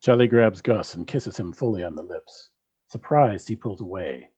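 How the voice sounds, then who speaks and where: A man's voice: on the low side, lively intonation, normal speed, mellow, husky, muffled recording. A man reading scripted narration for a comedy radio drama.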